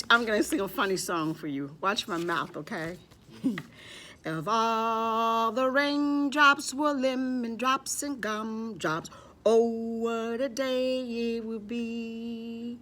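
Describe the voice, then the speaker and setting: A woman's voice: a little high, fairly bright tone, a little hiss or hum. A woman hosting a children's storytime, playful and encouraging, speaking simply to little kids and often repeating short phrases.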